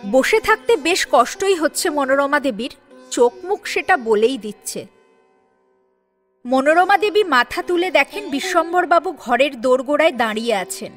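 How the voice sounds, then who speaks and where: A woman's voice: high voice, lively intonation, normal speed. A woman reading a serial story as its narrator in a dramatic storytelling style, with music behind her. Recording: music under the voice. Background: sitar instrumental music.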